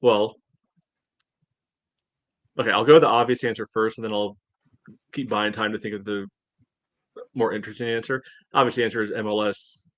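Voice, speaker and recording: Guy podcast cohost, lowish voice, close dry sound, teasing and opinionated sports banter. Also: fairly even intonation, normal speed, pauses often, a bit bright, dry recording. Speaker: podcast co-host. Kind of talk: casual soccer podcast banter.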